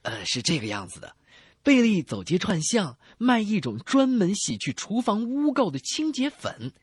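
A man's voice: sits high, huge pitch swings, moderate pace, husky, no echo. A man co-hosting a comedy radio show, playfully acting out jokes. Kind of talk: joke-telling banter.

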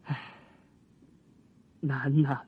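An actor playing an earnest, modest and troubled young scholar, deferential and theatrical, in a Chinese period radio drama. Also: male voice, mid-range voice, little pitch movement, drawn-out words, husky, heavily acted. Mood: hesitant, troubled. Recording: phone-like lo-fi radio recording.

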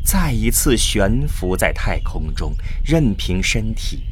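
Lively, unhurried male drama narrator. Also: lowish voice, a little hiss or hum. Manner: measured, dramatic storytelling. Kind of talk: scripted audio drama narration.

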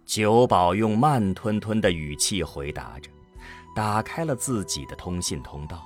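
A man's voice: low-pitched, lively intonation, measured pace, faint music behind. A man narrating a scripted science fiction audio drama as a storyteller.

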